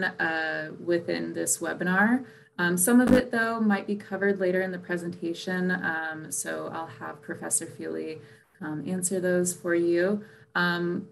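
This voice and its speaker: Lowish-voiced American woman, halting delivery. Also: fairly bright tone. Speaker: student affairs advisor. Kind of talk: informal introduction in a webinar. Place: online webinar.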